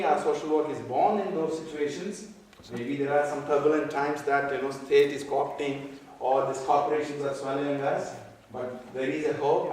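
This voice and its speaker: A man from Nepal giving a conference talk on social work, halting and full of fillers and repeated words. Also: mid-range voice, darkish tone, slight background noise. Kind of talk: conference presentation. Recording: echoey hall, speaker far from mic.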